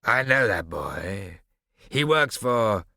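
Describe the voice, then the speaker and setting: A man's voice: mid-range voice, very animated, moderate pace, pushed and bright, no room sound, clean studio sound, pitched above the performer's own voice, full character voice. A man voicing a burly, menacing red-bearded bully who sneers and roars. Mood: suspicious. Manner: sneering, roaring, blustering. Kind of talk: audiobook character voice.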